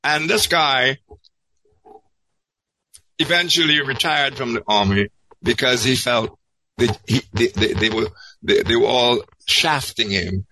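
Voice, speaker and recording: A man telling an anecdote in an interview, halting and repeating words as he searches, then landing them emphatically. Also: mid-range voice, sharp tone, slight background noise, rough recording. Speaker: podcast guest.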